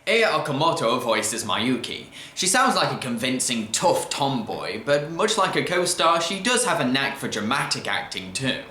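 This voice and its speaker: Expressive man in an echoey room. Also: medium pitch, moderate pace, few pauses, a little hiss or hum. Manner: enthusiastic, expressive. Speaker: film reviewer and show host. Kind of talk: scripted video review narration. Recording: roomy, echoey voice track.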